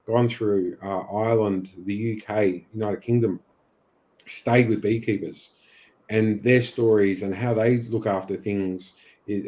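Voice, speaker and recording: A man's voice: fairly low pitch, warm tone. An Australian man talking as a guest on a video-call interview, enthusiastic and chatty, often restarting his sentences. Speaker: guest beekeeper. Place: unheated home studio.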